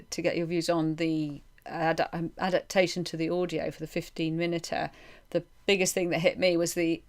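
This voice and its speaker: A woman with a fairly low voice speaks as a podcast interviewer. Her delivery is informal and conversational, reworking each thought aloud as she explains it.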